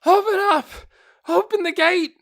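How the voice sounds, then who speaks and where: A man voicing a terrified night guard in an audiobook, stammering and shouting frantically. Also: very high-pitched, steady tone, a little slow, no echo, pitched above the performer's own voice, full character voice. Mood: frantic, urgent. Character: panicked male night guard, breathless and terrified. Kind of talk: audiobook character acting.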